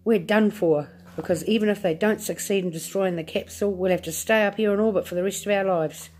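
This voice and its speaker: A woman's voice: medium pitch, brisk, a little mellow, natural voice. A woman voicing a desperate male astronaut in a read-aloud storybook.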